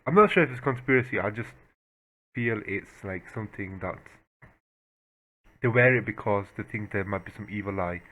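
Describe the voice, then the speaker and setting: A man's voice: fairly low pitch, fairly bright tone, low-quality recording. A man hosting a reaction video, thinking aloud and hesitating as he guesses. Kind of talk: casual reaction commentary.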